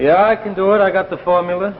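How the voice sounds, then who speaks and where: A man's voice: high voice, little pitch movement, fast talker, narrow, tinny audio, natural voice. Man acting harried 1950s American scientist, thin old recording. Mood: reassuring. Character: harried, absorbed male scientist and husband. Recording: thin, hissy 1950s broadcast soundtrack.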